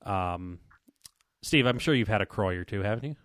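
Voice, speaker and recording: A man's voice: fairly low pitch, animated, normal speed, fairly bright tone, dry recording, clean studio sound. A man hosting a podcast, chatting casually and reading sponsor plugs in a rambling way that trails off. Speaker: podcast host.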